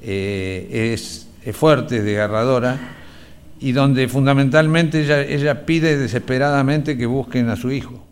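An Argentine man giving spoken testimony for a documentary, haltingly, often searching for his words. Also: mid-range voice, clear, resonant tone. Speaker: interviewee, relative giving testimony.

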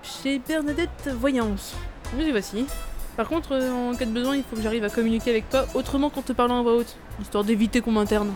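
A blunt, exasperated young woman, played by an actress, with a fairly high voice. She talks in a skeptical, conversational way, as in an audio drama with light music. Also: brisk, darkish tone, close to an everyday voice. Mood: hesitant, practical.

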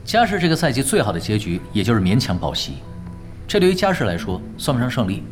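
An actor in a radio drama playing a composed, dry-witted esports veteran who explains things in a matter-of-fact way. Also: male voice, medium pitch, wildly swooping pitch, quick pace, faint music behind. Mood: calm analysis. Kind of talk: Chinese radio drama acting.

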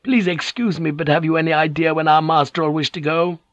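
A man's voice: fairly high pitch, fairly even intonation, fairly quick, a bit bright, no echo, highs cut off, pitched above the performer's own voice, lightly acted. A man voicing a miserable old samurai hunt master who pleads anxiously.

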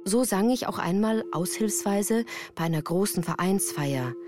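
A woman playing a melancholy narrator who recounts her hard youth in a measured, earnest radio drama style. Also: mid-range voice, animated, music in the background, close to an everyday voice. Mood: reflective, earnest.

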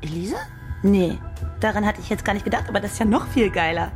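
An actress playing a slangy young woman writer who gleefully pitches gruesome ideas in a radio play. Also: quick pace, faint music behind, natural voice.

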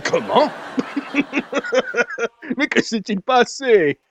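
A man's voice: very high voice, very animated, very slow, a little hiss or hum, exaggerated voice. An actor playing a boastful, jovially mocking salesman pal in a comic old-time radio play, exuberant and condescending. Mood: gleeful, mocking.

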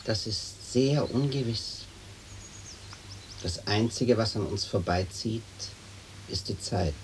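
An actor playing a wistful, dreamy gentleman butterfly collector in a radio play, speaking in a lyrical, musing way on an old recording. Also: fairly low pitch, slow, slight background noise, low-quality recording. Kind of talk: poetic German stereo radio play acting.

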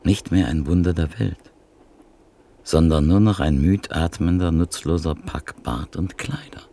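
A grim, mournful voice echoes the narrator's lament , voiced by a man. It is very deep and gravelly, dark and husky, set back from the mic. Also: a little slow.

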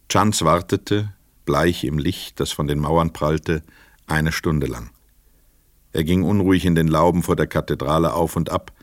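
Male narrator, extremely low pitch, dry close acoustic, measured, precise, literary radio storytelling. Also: no echo.

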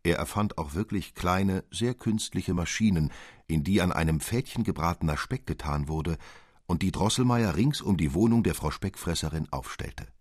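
Deep, husky male storyteller. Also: expressive, normal speed, no room sound, studio quality. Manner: dramatic, articulate. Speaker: narrator, audiobook reader. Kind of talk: expressive literary fairy-tale narration.

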